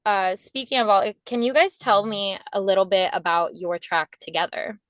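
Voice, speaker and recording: A woman's voice: mid-range voice, moderate pace, a bit bright, no room sound, clean studio sound. A young woman interviewing musicians in an upbeat, encouraging, chatty way. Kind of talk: casual interview.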